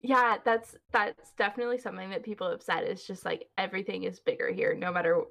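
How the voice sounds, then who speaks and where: A young woman with a Californian American accent chats in a bubbly, easy way, her voice carrying a steady gravelly fry. She laughs often and fills her talk with likes and ums, keeping a middle pitch throughout. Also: fast. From California, USA. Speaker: guest. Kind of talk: casual chat.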